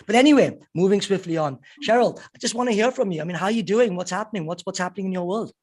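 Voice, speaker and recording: A man co-hosting a podcast with a croaky voice, chatting and asking questions. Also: high voice, fast talker, darkish tone. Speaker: podcast co-host.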